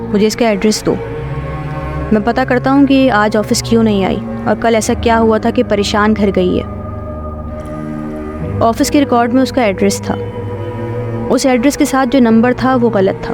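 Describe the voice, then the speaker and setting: A woman reading a scripted horror story as its narrator. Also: on the high side. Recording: clear music bed under voice.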